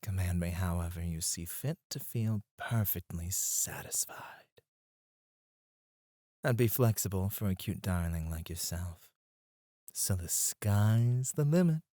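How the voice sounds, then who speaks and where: A man playing a suave, flirtatious club escort in an intimate ASMR roleplay, teasing and breathy, close to the mic. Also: sits low, animated, dark tone, no room sound. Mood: sultry, seductive. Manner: slow, teasing, seductive, often dropping to a breathy murmur. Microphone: close studio microphone, dry.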